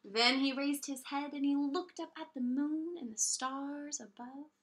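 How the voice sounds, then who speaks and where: A woman preschool teacher reading a picture book aloud in an expressive, encouraging way, pausing to explain things simply and ask young children questions. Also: high-pitched.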